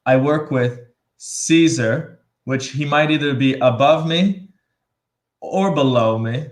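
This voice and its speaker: A man's voice: medium pitch, slow. A man from Buffalo, co-hosting a casual live stream in a chatty, joking way. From Buffalo, New York. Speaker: co-host.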